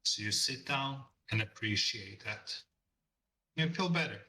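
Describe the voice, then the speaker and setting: A man's voice: medium pitch, animated, measured pace, pushed and bright, distant mic. A man who speaks English as a second language, chatting in an interview over a call, earnest and reflective, often repeating words as he searches for them. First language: not English. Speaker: guest artist.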